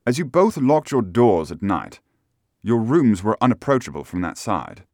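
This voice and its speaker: A man voicing a shrewd, courteous gentleman detective with a brisk, authoritative manner in an audiobook. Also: lowish voice, sing-song, no room sound, studio quality, pitched above the performer's own voice. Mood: brisk, probing.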